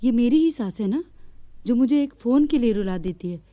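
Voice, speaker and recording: A woman plays an aggrieved young daughter-in-law from a cartoon moral tale. Her voice is high yet mellow, with a plaintive, earnest delivery and a dry, close sound. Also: animated, normal speed, dry recording, close to an everyday voice. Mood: resentful. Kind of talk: Hindi moral-story cartoon acting.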